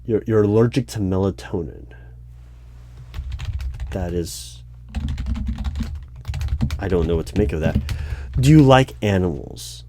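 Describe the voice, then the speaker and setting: A man playing a dry, unflappable hiring manager in a one-sided ASMR roleplay job interview, asking measured, halting questions. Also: low-pitched, animated, dark tone, a little hiss or hum. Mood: curious, deliberate. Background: computer keyboard typing and clicks.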